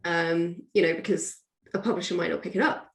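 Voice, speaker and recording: A woman's voice: on the low side, steady tone, a little fast, gravelly. A woman guest author talking casually and with animation in an interview, often acting out what people say. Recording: echoey, roomy sound.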